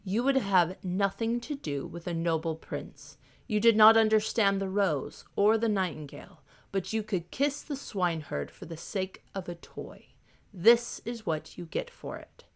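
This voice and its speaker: A woman voicing a proud young prince disguised as a swineherd, cold, measured and scornful. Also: medium pitch, expressive.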